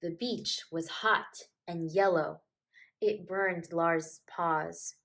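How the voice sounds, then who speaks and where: A woman teacher reading a picture book aloud to young children in an expressive, emphatic, deliberate way. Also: lowish voice, creaky. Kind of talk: animated children's storybook narration.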